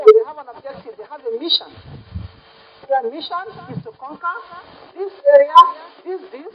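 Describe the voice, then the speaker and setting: High-voiced nun preaching. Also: female voice, fairly bright tone, poor audio. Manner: emphatic, repeats words for effect, asks the listeners questions. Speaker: religious sister leading a prayer retreat. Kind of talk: sermon. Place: church sanctuary by the altar.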